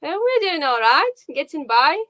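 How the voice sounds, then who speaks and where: Bright-voiced Russian woman on a call. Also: sits high, sing-song, moderate pace, dry recording, studio quality. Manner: hesitant, with many fillers and restarts. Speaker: guest. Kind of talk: casual conversation on a live video call. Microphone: video call.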